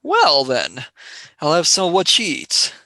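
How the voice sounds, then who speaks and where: A voice actor playing a sardonic young space traveller. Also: female voice, fairly low pitch, huge pitch swings, measured pace, bright, forceful tone, dry recording, heavily acted. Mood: wry. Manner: sarcastic, wheedling. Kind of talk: amateur radio play.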